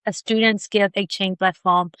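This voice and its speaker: A woman hosting a podcast speaks in a crisp, clear voice, recorded close and dry. She asks friendly, simple questions and reads a short opening script. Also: mid-range voice, fairly even intonation, moderate pace, fairly bright tone, no echo. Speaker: podcast host. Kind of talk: interviewing a guest.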